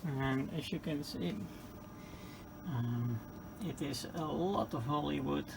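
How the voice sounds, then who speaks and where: Slow man with a very gravelly, creaky voice. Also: fairly high pitch. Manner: hesitant, halting, matter-of-fact. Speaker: hobbyist presenter of radio repair. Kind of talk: casual hands-on explanation. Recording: clear background noise.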